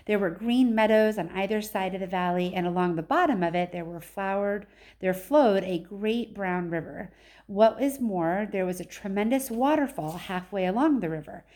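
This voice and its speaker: A woman narrates a children's story aloud, expressively, with deliberate and emphatic phrasing. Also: medium pitch, a little mellow. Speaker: read-aloud storyteller, teacher.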